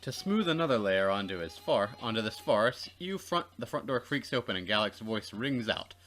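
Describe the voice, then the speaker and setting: A man reading visual novel narration aloud as a let's play co-host, expressive and wry, with casual asides. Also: fairly low pitch, moderate pace, soft background music.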